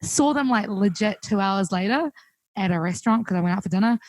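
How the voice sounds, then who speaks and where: A young woman with a New Zealand accent, chatting casually as a guest musician, full of fillers and quick laughs. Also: mid-range voice, lively intonation, no room sound. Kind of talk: casual chat on a call. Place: home, recorded remotely.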